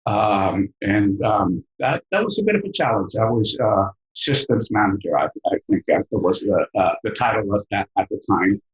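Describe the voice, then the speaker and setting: A middle-aged man with a Mexican accent and a low voice talks in a podcast interview. He is thoughtful and halting, with frequent "uh"s and repeated words. The sound is echoey, as if recorded in a bare room. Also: expressive, dull audio. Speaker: business school professor, guest.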